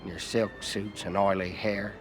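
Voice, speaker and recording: An actor playing an arrogant, condescending American senator in a video game cutscene, blunt and haughty in manner. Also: male voice, on the low side, level tone, measured pace, over music, close to an everyday voice. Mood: contemptuous, blunt.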